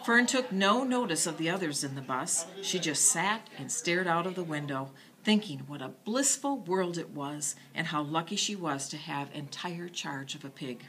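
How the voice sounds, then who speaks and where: A woman reads a children's bedtime story in expressive narration. Her voice is bright and slightly low, with very lively intonation. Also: moderate pace.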